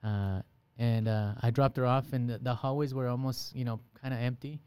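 A middle-aged man hosting a conversation, relaxed and affirming as he listens and speaks. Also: mid-range voice, moderate pace, smooth, no room sound. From Guatemalan background. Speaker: podcast host. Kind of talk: casual conversation.

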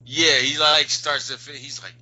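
A man's voice: a little high, little pitch movement, pushed and bright, dry recording, lo-fi sound. A man in a casual chat, talking loosely with plenty of fillers. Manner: loose and conversational, lots of fillers, quick laughs. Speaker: podcast co-host.

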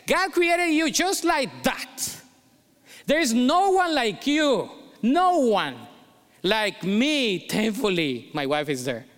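A man's voice: sits high, fairly bright tone, clean tone, long reverb tail. A pastor from Colombia preaching a sermon in English with a Colombian Spanish accent, emphatic and repeating his key phrases.

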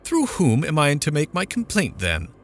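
Petulant, easily affronted mother, voice pitched high and complaining; a male reader puts her on. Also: wildly swooping pitch, moderate pace, soft background music. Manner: complaining, indignant, raised pitch. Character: fretful, petulant middle-aged mother.

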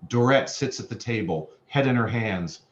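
A man's voice: mid-range voice, animated, normal speed. A man reading scripted stage directions as narrator for a play reading. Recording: roomy, muffled, slightly noisy microphone.

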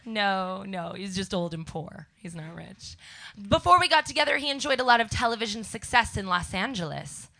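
A young Canadian woman with a scratchy voice doing a deadpan stand-up set before a live audience. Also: medium pitch, moderate pace, vocal fry. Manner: deadpan one-liners to a crowd. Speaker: stand-up comedian guest.

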